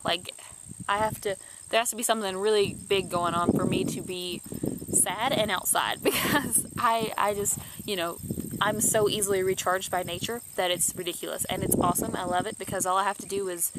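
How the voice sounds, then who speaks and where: A woman's voice: mid-range voice, very noisy. A young woman in her twenties with a stuffed-up nose from allergies, chatting casually to camera in a rambling way, full of restarts and fillers. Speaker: YouTuber.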